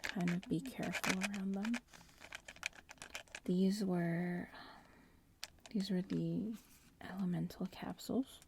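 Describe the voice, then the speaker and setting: A woman playing a bratty young witch in training in a whispered ASMR roleplay, teasing and explaining in a breathy voice. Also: on the low side, steady tone, takes it slowly, pauses often, a little hiss or hum. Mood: instructive, focused. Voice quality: breathy whisper, mostly unvoiced.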